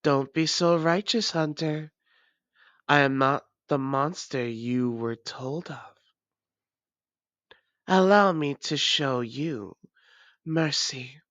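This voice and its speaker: A woman as a slow, menacing vampire ruler. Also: low voice, fairly bright tone, no echo. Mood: imperious, solemn. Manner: taunting, deliberate, imperious. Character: proud, menacing female vampire ruler, former hunter. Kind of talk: dramatic audio roleplay monologue.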